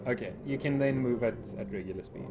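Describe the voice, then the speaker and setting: A man's voice: mid-range voice, fast talker, muffled recording, heavy background noise. A man running a tabletop game as game master, talking casually and somewhat hesitantly as he narrates and makes rulings. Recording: background music under game talk. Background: music.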